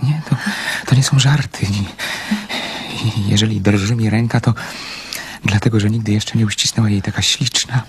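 An actor playing a shy, lonely, romantic young man who speaks haltingly and stammers in a radio drama. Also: fairly low pitch, fairly even intonation, a little hiss or hum, lightly acted. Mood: nervous, shy. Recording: music playing under the radio play.

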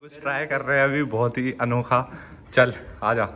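A man's voice: mid-range voice, fairly even intonation, moderate pace, highs cut off. A young man chatting casually to the camera as a vlogger while working out in a large indoor gym, joking between sets. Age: young adult. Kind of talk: casual vlog chat.

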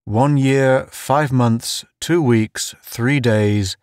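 A man plays a worn-down, sardonic spaceman from a lonely outpost. He talks slowly in a middle register, sullen and muttering, with a bitter edge, recorded dry. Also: medium pitch, a little mellow, clean tone, no room sound. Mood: dull. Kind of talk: audiobook character voice.

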